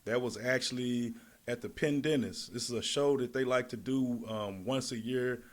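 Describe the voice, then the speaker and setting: A man with a Kentucky accent, a fight promoter chatting in an interview, relaxed and rambling, laughing easily. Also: mid-range voice, normal speed. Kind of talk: casual interview chat.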